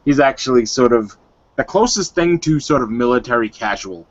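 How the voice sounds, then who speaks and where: A man casually describing his character in a tabletop roleplay, halting and searching for words. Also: medium pitch, animated, dry recording. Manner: halting, hedging with repeated likes. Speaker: roleplay player. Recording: dull online call audio.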